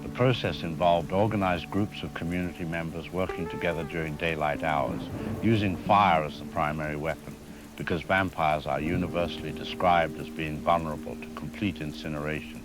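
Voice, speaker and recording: A man playing a grave academic historian in a radio drama, speaking in a formal, measured, lecturing way. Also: rumbling low, over music, poor audio. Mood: grave, warning. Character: grave male academic historian expert on vampire folklore. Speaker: expert interview guest.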